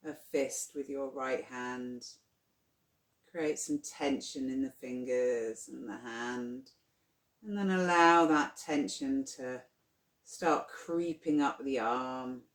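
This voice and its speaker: A meditation guide speaks very slowly, leading deep relaxation with gentle, soothing instructions. The delivery is unhurried and spacious. The recording has a noticeable roomy echo around the voice. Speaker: yoga nidra guide. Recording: roomy, echoing sound.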